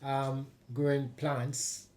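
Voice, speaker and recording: A man's voice: mid-range voice, level tone, drawn-out words, darkish tone. A middle-aged man with a Jamaican accent, chatting casually as a guest. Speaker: guest, hydroponic farmer. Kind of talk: casual chat.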